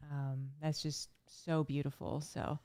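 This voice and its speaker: A woman's voice: low-pitched, little pitch movement, takes it slowly, warm tone, no room sound. A woman co-hosting an online recovery meeting over a video call, encouraging and kind.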